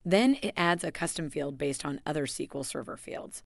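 A woman giving a scripted, even voice-over that walks through software steps. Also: sits low, fairly quick, creaky, no echo, studio quality. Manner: even, instructional delivery. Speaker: software tutorial narrator.

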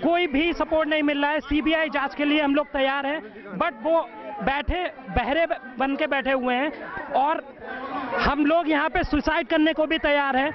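A man's voice: extremely high pitch, steady tone, moderate pace. A young man, a protesting exam candidate, speaking fervently and insistently into a handheld mic outdoors among a crowd. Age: young adult. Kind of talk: impassioned interview statement. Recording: dull sound, crowd behind.

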